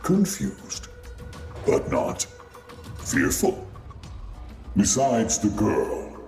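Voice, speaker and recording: A man playing an ancient, calm robot master in a game cutscene, speaking in a measured, formal way. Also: medium pitch, gravelly, exaggerated voice. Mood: calm, pondering. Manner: slow, measured, formal speech. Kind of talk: scripted game cutscene dialogue. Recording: echoey, music behind.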